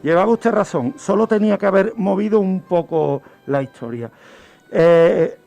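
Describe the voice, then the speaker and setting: A man, telling true-crime cases as a guest criminologist on a radio show, explaining deliberately. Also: high voice, animated, vocal fry, mellow. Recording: music bed under the voice. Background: background music.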